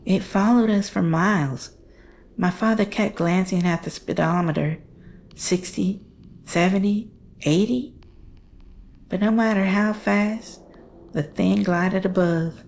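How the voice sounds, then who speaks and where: A woman playing a haunted daughter recounting a horror story in hushed, halting, measured phrases. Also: mid-range voice, vocal fry. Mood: haunted, dread. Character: Jane, haunted woman recounting her family's monster encounter. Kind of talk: slow scripted horror storytelling.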